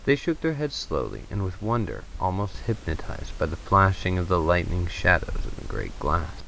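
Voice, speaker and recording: A man's voice: low-pitched, very animated, normal speed, creaky, fairly bright tone, a little hiss or hum, poor audio. A man narrating a story in a dramatic storybook style.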